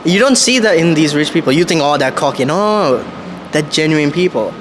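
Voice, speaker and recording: A man's voice: on the high side, animated, moderate pace, noisy background. A man from Sri Lanka chatting casually and earnestly on a podcast, with slangy speech. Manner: earnest, slangy, says "bro" and "you know". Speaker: podcast guest. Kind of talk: casual podcast chat, storytelling.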